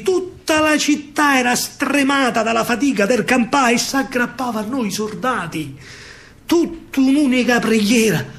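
A man's voice: very high voice, fairly bright tone. A man with a Roman accent performing a dramatic verse monologue as a storyteller, declamatory and emphatic. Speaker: actor and storyteller. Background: music.

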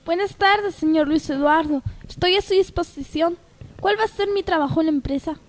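A woman's voice: very high-pitched, moderate pace. A woman playing a polite, proud young woman in an amateur radio soap opera, earnest and deferential.

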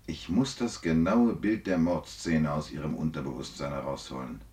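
Investigator, male, very low register, thoughtful and resolute, vintage science fiction radio play. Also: fairly even intonation, moderate pace, darkish tone. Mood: intent. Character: determined male investigator probing a murder, thoughtful and insistent. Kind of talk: 1970s German radio drama acting.

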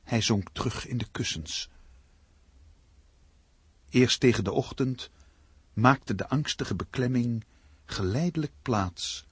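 An actor narrating a literary suspense story in a radio drama as a grave man, with ominous, measured phrasing. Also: medium pitch, husky, no echo.

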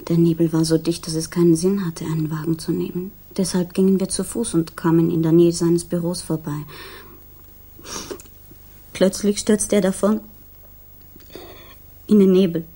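An actress playing a grief-stricken, loyal young fiancée, soft, breathy and subdued, in a 1960s German radio crime drama. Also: female voice, fairly low pitch, flat delivery, moderate pace, long pauses. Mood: troubled, subdued. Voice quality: soft, breathy, often near whisper. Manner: hesitant, subdued.

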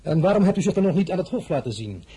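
An actor playing an imperious, suspicious emperor in a radio drama, commanding, formal and sarcastic. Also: male voice, high-pitched, big pitch swings, very fast, mellow, breathy edge, exaggerated voice. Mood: sardonic. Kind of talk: Dutch radio drama dialogue.

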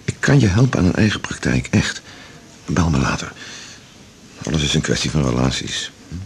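Very deep, gravelly, blunt older man. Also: sing-song, normal speed, exaggerated voice. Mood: concerned, advising. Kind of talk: 1980s Dutch radio drama acting. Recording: noisy 1980s radio drama recording.

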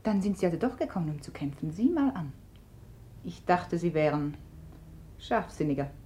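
An actress playing Nastasya, a proud woman, speaking in a cool, quiet, disdainful way in a 1950s radio play. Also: on the low side, expressive, normal speed, a little hiss or hum, close to an everyday voice. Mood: cool scornful.

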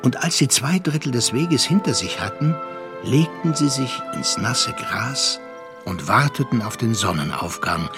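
Man as measured literary narrator over music. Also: mid-range voice, expressive, lightly acted. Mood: measured, reflective. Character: measured literary male narrator, worldly listener to the adventurer. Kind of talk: scripted radio drama narration. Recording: music behind the voice.

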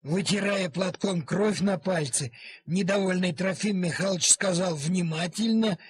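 A man's voice: high-pitched, a little slow, a little hiss or hum. A man narrating a story expressively, in a theatrical way, drawing out key words.

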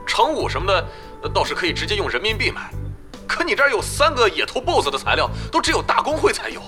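A man's voice: high voice, full character voice. An actor playing a cheeky, theatrical young male gamer, chatty and boastful, in a Chinese audio drama. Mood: exasperated. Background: music.

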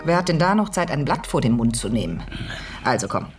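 A woman's voice: extremely low pitch, very animated, a little fast. An actress playing a bold, shrewd, flirtatious woman of fifty in a noir radio drama, frank and teasing. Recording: faint music under the voice.

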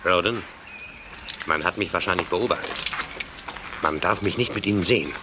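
A man's voice: deep voice, moderate pace, background noise, lightly acted. A man acting a wary alien liaison officer, clipped and urgent, in a 1980s German sci-fi radio play. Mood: nervous, urgent.

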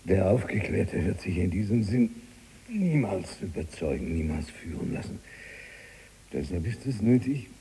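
An actor playing an imprisoned freethinking writer, mocking, obsessive and eloquent, with a husky, gravelly voice, in a theatrical radio drama monologue. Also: male voice, low voice, very animated, unhurried, mellow, slight background noise, full character voice. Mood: bitter lecturing. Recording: very reverberant hall-like space.

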